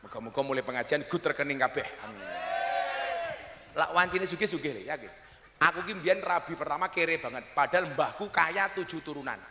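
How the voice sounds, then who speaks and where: A man's voice: a little high, lively intonation, brisk, background noise. A man preaching a comic sermon to a crowd, teasing the audience and slipping into regional dialect for punchlines, on a stage mic. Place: large hall or outdoor stage at a mosque opening. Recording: echoey hall with long reverb.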